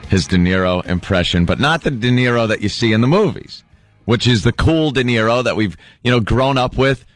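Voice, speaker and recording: A man's voice: mid-range voice, lively intonation, a little fast, dry recording, faint music behind. A man bantering casually as co-host of a radio talk show, on a close studio mic.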